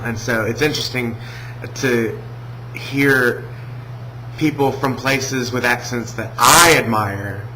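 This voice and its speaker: A man from Alabama with a Southern American accent, talking casually in a video response, hesitant with many pauses and restarts. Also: medium pitch, sharp tone, a little hiss or hum, low-quality recording. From Alabama, USA. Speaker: vlogger.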